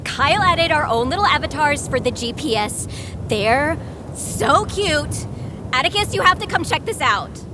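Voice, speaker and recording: A woman playing a cute, sulky teenage girl sidekick in an audio drama, with a bratty, expressive manner. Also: moderate pace. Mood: excited. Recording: rumbling engine-like noise behind voice.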